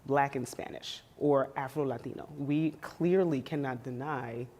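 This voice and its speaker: Panel guest, woman, very low voice, emphatic and wry. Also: steady tone, measured pace, a little mellow. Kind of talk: casual panel conversation.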